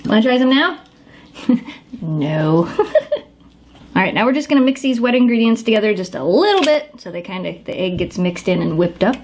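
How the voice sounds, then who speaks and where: A woman's voice: mid-range voice, lively intonation, normal speed, darkish tone, slight background noise. A woman hosting a casual home cooking how-to in her kitchen, chatting to her dogs as she works. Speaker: home cook demonstrating a recipe.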